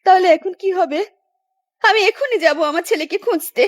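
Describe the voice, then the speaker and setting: A distraught village mother voiced by an actor in a horror cartoon, with an extremely high, plaintive voice. Her delivery is melodramatic and wailing, in a dry recording. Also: moderate pace, dry recording. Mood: worried.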